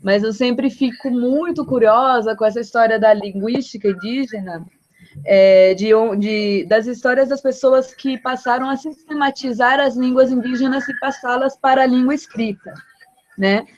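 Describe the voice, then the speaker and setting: Brazilian radio host lady, chatty and laughy, highish voice, muffled lo-fi line. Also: steady tone, normal speed, darkish tone. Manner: chatty, laughs easily, frequent tag questions. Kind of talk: live interview chat. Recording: dull lo-fi remote audio.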